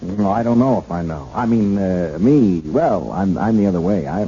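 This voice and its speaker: A man's voice: on the low side, lively intonation, normal speed, dark tone, breathy edge. An actor playing an awkward, kindly, unschooled American policeman in a 1970s radio drama, stammering and folksy. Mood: kindly, flustered. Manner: stammering, hesitant, folksy, colloquial. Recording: muffled, hissy vintage radio broadcast.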